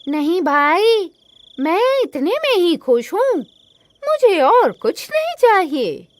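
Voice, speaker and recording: A woman's voice: very high voice, very animated, takes it slowly, no room sound, pitched above the performer's own voice, full character voice. A woman voicing a cheerful, contented village man, eager and exclaiming, in a cartoon story.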